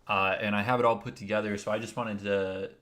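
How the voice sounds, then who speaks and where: A man with a naturally deep voice, explaining his video editing steps one by one in a casual, rambling livestream. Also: little pitch movement, fairly quick, fairly bright tone. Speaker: streamer.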